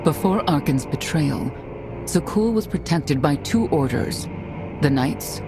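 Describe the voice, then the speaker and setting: An actress playing an enigmatic, solemn woman in a video game, speaking in formal, measured phrases. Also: deep voice, lively intonation, low-quality recording. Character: enigmatic, solemn go-between with mystical allies. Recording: loud music underneath.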